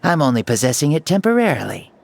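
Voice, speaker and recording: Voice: mid-range voice, big pitch swings, quick pace, gravelly, darkish tone, a little hiss or hum. An actor playing an ancient, jovial hero reborn in a boy's body in a video game, self-assured and playfully formal. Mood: amused. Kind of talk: video game character acting.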